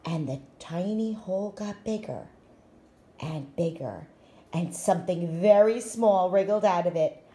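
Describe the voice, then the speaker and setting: A woman reading a children's picture book aloud in an expressive, deliberate way. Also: on the low side. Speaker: storytime reader, school staff.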